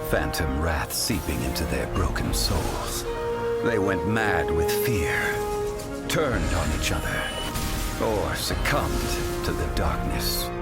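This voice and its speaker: A grave teller of ancient legends in a video game. His voice is deep and unhurried, with a measured, theatrical solemnity. Loud music runs beneath the narration. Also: full character voice. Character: solemn male storyteller of ancient dark legends. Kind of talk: scripted dramatic game narration.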